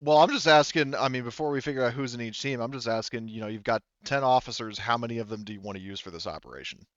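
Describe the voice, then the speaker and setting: A man's voice: medium pitch, fast, a bit bright, dry recording, dull audio. A man talking casually as the game master of a tabletop roleplaying session.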